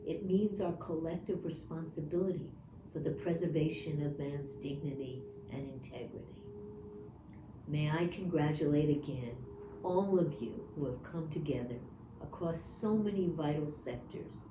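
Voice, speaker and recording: Low-voiced woman in a noisy, echoey recording. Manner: formal, measured. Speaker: university chancellor giving recorded remarks. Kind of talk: formal congratulatory speech. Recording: roomy echo, clear background noise.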